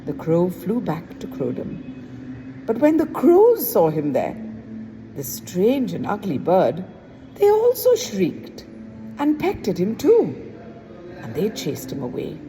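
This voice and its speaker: A woman teacher reading a children's story aloud to her class in an expressive storybook manner. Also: background noise. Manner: expressive, slow storybook reading with lively pitch.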